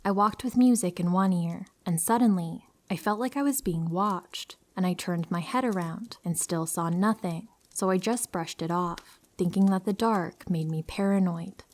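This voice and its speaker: A woman with a fairly low voice reads true horror stories aloud. Her delivery is calm and even, the way a narrator tells a story meant for sleep. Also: normal speed, few pauses.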